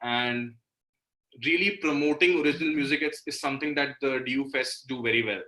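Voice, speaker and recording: Indian guy from delhi, bright forward voice, relaxed interview chat. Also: medium pitch, brisk, poor audio. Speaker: guest, indie musician. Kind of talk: casual interview talk.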